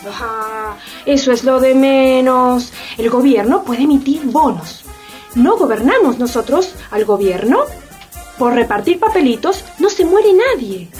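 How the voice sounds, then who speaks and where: An actress playing a greedy, snobbish bourgeois wife in a satirical radio drama, gushing and domineering, with a Latin American Spanish accent. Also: female voice, high voice, animated, a little slow, a little mellow, soft background music. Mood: scheming, breezy.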